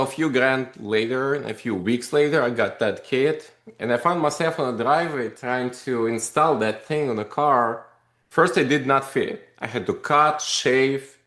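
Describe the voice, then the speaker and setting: Man in an echoey room. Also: medium pitch. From Russia. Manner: animated, rambling with many fillers. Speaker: podcast guest, company founder. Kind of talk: casual interview storytelling.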